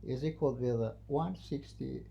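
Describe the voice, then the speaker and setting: A non-native English speaker teaching math, thinking aloud haltingly and repeating phrases while writing out the steps. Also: mid-range voice, gravelly, dark tone. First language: not English. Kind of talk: math lesson, working through a problem at the board. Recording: noisy, with a constant hum.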